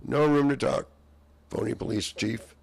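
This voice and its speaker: A hoarse man in a rambling monologue, drifting between half-finished sentences and mock-courtroom phrasing. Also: medium pitch, measured pace, darkish tone, dry recording.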